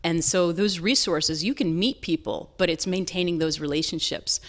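An American woman with a voice a little lower than most speaks as an interview guest. She explains things earnestly and in a conversational style, like a business owner talking about her work. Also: moderate pace. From North Carolina, southern United States.

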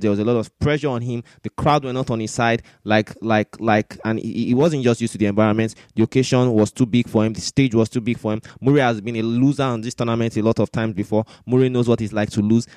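A man's voice: on the low side, a little mellow, no echo, studio quality. A man hosting a sports discussion, giving opinionated, argumentative commentary with points repeated for emphasis. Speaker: sports podcast host.

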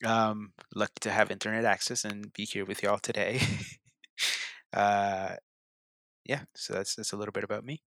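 A man's voice: on the low side, level tone, moderate pace, fairly bright tone, no room sound. A young man from El Salvador, a guest interpreter, talking casually and hesitantly with many restarts. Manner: hesitant, repeats words. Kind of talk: casual conversation.

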